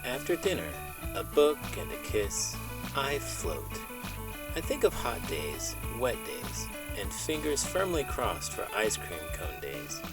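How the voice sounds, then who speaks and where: A man reading a children's storybook aloud with animated, dramatic emphasis, in the manner of an elementary school teacher. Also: medium pitch, takes it slowly, creaky, over loud music. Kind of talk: expressive storybook read-aloud with puppet banter.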